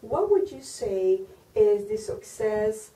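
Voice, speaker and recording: A woman's voice: medium pitch, sing-song, slow pace, mellow. A woman hosting an interview, asking brief, direct questions. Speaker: interviewer, host. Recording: echoey room, mic at a distance.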